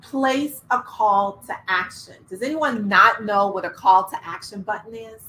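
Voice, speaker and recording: A middle-aged woman coaching entrepreneurs in an online workshop, energetic, laughing and asking the audience questions. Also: medium pitch, a little slow, poor audio. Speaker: business coach. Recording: faint background noise.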